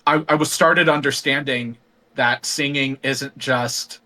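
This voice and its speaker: A man talking as a guest singer in a casual interview, thoughtful and rambling with many pauses and ums. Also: mid-range voice, steady tone, sharp tone, low-quality recording.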